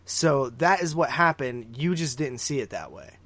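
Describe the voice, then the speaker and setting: A man's voice: mid-range voice, animated, moderate pace, crowd noise. A man co-hosting a film review podcast, debating casually in an insistent, teasing, emphatic way. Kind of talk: casual argumentative chat.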